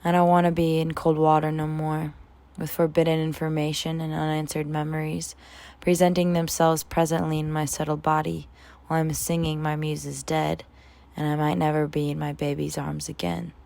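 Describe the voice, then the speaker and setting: A woman in her twenties giving a spoken word poetry reading as a poet, with a measured, dramatic delivery. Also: low-pitched, little pitch movement, vocal fry, darkish tone. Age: 20s.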